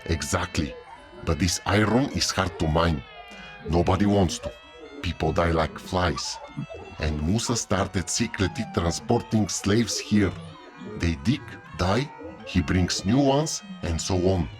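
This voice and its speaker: An actor playing a gossipy tavern keeper who tells stories in a folksy, unhurried way in an audio drama. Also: male voice, deep voice. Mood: grave storytelling. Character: gossipy male innkeeper at a moon tavern. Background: music.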